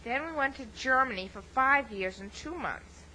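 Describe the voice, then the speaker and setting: Woman acting a giddy young pop fan, high pitch, sing-song, teasing, playful tall tales. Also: animated, normal speed, bright, forceful tone, a little hiss or hum, poor audio. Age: young adult. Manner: playful, teasing, giggly, tall-tale telling.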